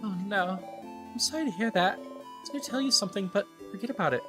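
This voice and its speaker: A concerned, hesitant friend from a fantasy game, played by a man. The voice sits well above his usual pitch and sounds gentle and sympathetic. Faint music runs behind it. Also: expressive, fast talker. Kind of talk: dramatic video game voice-over.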